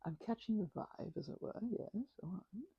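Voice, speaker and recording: A woman's voice: fairly low pitch, expressive, normal speed, creaky, mellow, slightly rough, dry recording, highs cut off. A woman doing a casual ASMR roleplay as a chatty, scatterbrained best friend, rambling playfully from one tangent to the next.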